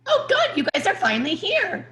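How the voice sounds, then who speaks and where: Woman putting on a high, sweet motherly baker. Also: wildly swooping pitch, a little fast, roomy sound, very noisy, heavily acted. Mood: sugary. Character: sweet, pushy motherly woman who bakes. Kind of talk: amateur table read.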